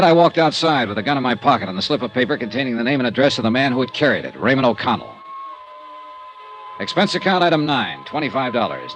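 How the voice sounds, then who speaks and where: An American actor playing a cool, guarded freelance investigator in a 1950s radio drama, clipped and understated. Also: male voice, medium pitch, natural voice, rough recording. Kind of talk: 1950s radio detective acting with first-person narration.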